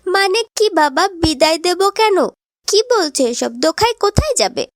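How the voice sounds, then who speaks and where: Devoted little girl, cartoon melodrama. Extremely high voice, pleading and plaintive, dry recording. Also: very animated, moderate pace, no echo. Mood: shocked, tearful.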